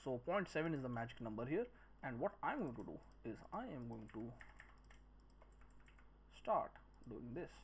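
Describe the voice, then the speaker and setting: A man giving a step-by-step software tutorial, thinking aloud in short phrases as he works. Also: medium pitch, sing-song, normal speed, pauses often, slight background noise. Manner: speaks in short phrases while working. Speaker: 3D modelling tutor.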